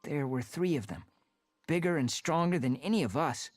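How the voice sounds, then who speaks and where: A man's voice: fairly high pitch, animated, moderate pace, no room sound, soft background music, voice pushed up, natural voice. A man doing a restless young tomcat. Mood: earnest. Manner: earnest, firm and determined. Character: restless young house tomcat longing for wild.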